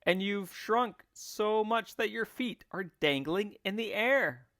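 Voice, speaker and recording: A man voicing a gleefully cruel, scheming husband in a storybook, taunting with emphatic, drawn-out words. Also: sits high, lively intonation, a bit bright, no echo, clean studio sound, voice pushed up, exaggerated voice. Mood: mocking, loud.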